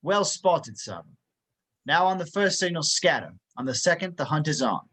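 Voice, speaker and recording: A man playing a stern, dry-witted spy instructor in an amateur table read, crisp and matter-of-fact. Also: on the high side, big pitch swings, moderate pace, sharp tone, full character voice. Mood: brisk, stern.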